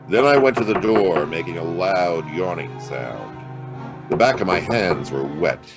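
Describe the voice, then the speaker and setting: A man's voice: low voice, animated, unhurried, music in the background. An actor playing a world-weary, wisecracking private detective in a noir audio drama, with wry, clipped tough-guy delivery. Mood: grim, tense. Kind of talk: noir radio-play acting and first-person narration.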